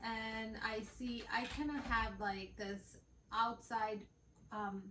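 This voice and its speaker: A woman's voice: on the high side, fairly even intonation, takes it slowly, far from the mic. A woman who grew up between Bangladesh and the United States, co-hosting a casual podcast chat and rambling with many fillers and restarts. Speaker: podcast co-host. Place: home room. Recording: roomy, off-mic with faint background noise.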